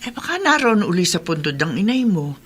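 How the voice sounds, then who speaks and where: A woman's voice: fairly low pitch, sing-song, brisk, husky. An actress with a Filipino accent playing a helpful, concerned middle-aged neighbour woman in a radio drama, exclamatory in manner. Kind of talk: Tagalog radio drama acting.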